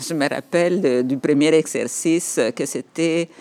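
A woman's voice: deep voice, measured pace, darkish tone. Woman recalling her past. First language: Spanish. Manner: reflective, with hesitations and laughs. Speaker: interview guest. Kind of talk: personal storytelling in a podcast interview.

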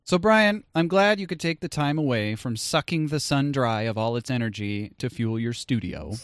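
A man hosts a casual comedy podcast with a very lively, varied pitch. His delivery is jokey and playful, and the recording is dry and close, free of room echo. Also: moderate pace. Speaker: podcast host.

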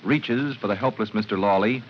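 A man's voice: on the low side, moderate pace, a little hiss or hum. Male 1940s radio announcer, muffled recording. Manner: dramatic, formal announcer delivery. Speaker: announcer and narrator. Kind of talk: 1940s radio drama narration, scripted.

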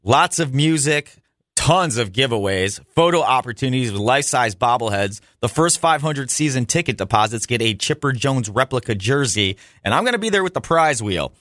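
A man's voice: mid-range voice, lively intonation, normal speed, fairly bright tone, dry recording. An American man talks sports as a radio host, in a dry studio sound with a mid-range voice. His delivery is casual and chatty, and he gives his opinions freely, like a fan behind the microphone.

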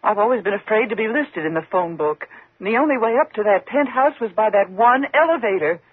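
A woman's voice: mid-range voice, expressive, a little fast, husky, no room sound, narrow, tinny audio. An actress playing a frightened single woman in a 1950s radio suspense drama, tense and dramatic in her delivery. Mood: haunted, dread. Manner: tense, dramatic, faltering when flustered.

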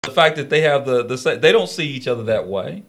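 A man's voice: medium pitch, animated, fairly quick, over music. A man on a talk radio panel speaking casually, rambling and repeating himself, often checking that listeners follow. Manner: rambling and repetitive, keeps asking "you see what I'm saying".

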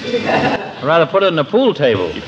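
An actor playing a brash, tight-fisted club pal who talks bluntly in slang, in 1940s radio comedy style. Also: male voice, a little high, huge pitch swings, moderate pace. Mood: grumbling, defensive. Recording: dull, noisy 1940s radio recording.

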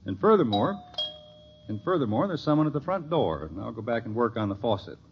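A wry suburban father from a vintage radio comedy. His medium-pitched voice moves around freely and teases drily. The recording is muffled and old. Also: very animated, brisk, a little hiss or hum. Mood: wryly amused. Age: middle-aged. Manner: dry, teasing. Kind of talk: 1950s radio sitcom acting.

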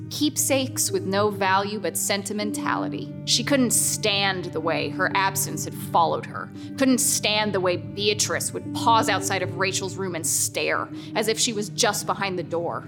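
A woman narrating a horror radio drama as a solemn storyteller, in a measured, dramatic manner. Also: medium pitch, close to an everyday voice. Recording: music bed under narration.

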